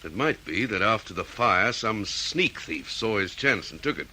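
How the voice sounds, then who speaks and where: An actor playing a methodical, questioning police detective in an Australian radio drama, with an Australian accent. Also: male voice, on the low side, lively intonation, pushed and bright, slight background noise. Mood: reasoning. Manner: deliberate, questioning.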